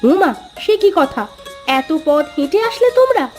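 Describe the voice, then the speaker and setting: A woman's voice: extremely high pitch, very animated, moderate pace, darkish tone, soft background music. A woman voicing an outspoken, fussing village wife in a cartoon moral story.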